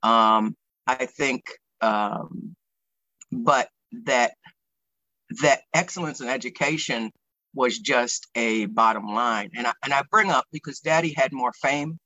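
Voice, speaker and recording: A man in his sixties with an American accent recounts memories for an interview. His voice is bright and mid-pitched, recorded dry. He speaks reflectively, often halting to find the right word. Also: no room sound, low-quality recording. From Pennsylvania, United States. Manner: reflective, hesitant, pausing to choose words. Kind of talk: oral history interview, personal recollection.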